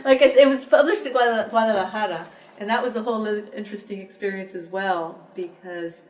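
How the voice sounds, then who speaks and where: A woman from the Texas border region speaking as an interview guest, reflective and measured, with frequent restarts. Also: medium pitch. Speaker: interview guest, poet and publisher. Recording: echoey room, distant mic.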